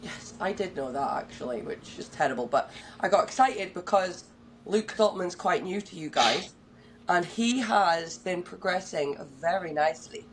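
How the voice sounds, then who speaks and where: A woman's voice: fairly low pitch, animated, moderate pace, slight background noise. A woman hosting a casual podcast, bubbly and enthusiastic, casually swearing as she chats. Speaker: podcast host.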